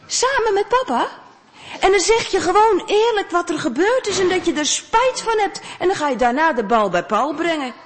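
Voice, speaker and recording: A woman voicing a gentle mother who comforts her son and coaxes him to make things right. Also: very high-pitched, very animated, normal speed, long reverb tail, voice pushed up. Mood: soothing. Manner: soothing, coaxing.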